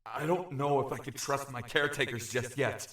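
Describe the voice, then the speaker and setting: A man's voice: medium pitch, normal speed, a bit bright, natural voice. A man as an anxious, echoey young shapeshifter. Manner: nervous, hesitant. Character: anxious, wary young shapeshifter from another realm, thinking aloud. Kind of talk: inner monologue in audio drama. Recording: echoey, distant-sounding inner-voice treatment.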